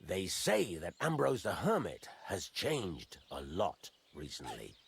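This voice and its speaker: An actor playing a gruff, fatherly middle-aged master blacksmith in a medieval video game, blunt and folksy, teasing as he talks. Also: sits low, huge pitch swings, slow pace, heavily acted. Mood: confiding. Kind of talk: video game dialogue acting.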